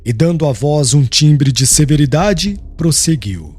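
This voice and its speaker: A man's voice: fairly high pitch, lively intonation, measured pace, darkish tone, breathy edge, soft background music. A man narrating a literary audiobook in a solemn, formal and dramatic style.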